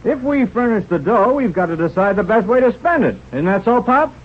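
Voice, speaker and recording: Very high male voice, husky, bossy penny-pincher, folksy grumbling, radio drama, dull recording. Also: sing-song, fairly quick. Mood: grudging, bossy. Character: stingy, bossy small-town brother-in-law. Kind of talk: 1930s radio drama acting. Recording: muffled old radio recording.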